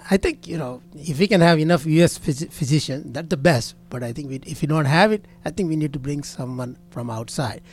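A man's voice: on the high side, a little fast, few pauses, darkish tone. A man who is a physician and medical association president, answering interview questions in short, direct statements. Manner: short, blunt statements, often opens with "see".

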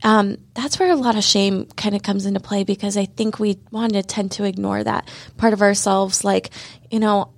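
A woman with a light voice talks things through thoughtfully as a studio guest, filling her pauses with "like" and "um". Her voice sits at an ordinary pitch for a woman and is recorded dry and close. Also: steady tone, gravelly, dry recording. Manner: reflective, many fillers like "like" and "um". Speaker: dog trainer, studio guest. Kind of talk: casual chat.

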